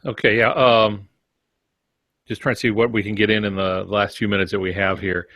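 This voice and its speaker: An older American man co-hosting a podcast, giving measured, conversational explanations and writing advice with anecdotes. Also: lowish voice, level tone, a bit bright, no room sound. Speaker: podcast co-host.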